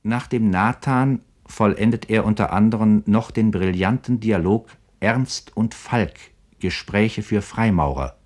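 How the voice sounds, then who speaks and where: Deep-voiced male documentary narrator. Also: a little slow, a little mellow. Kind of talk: scripted literary radio narration.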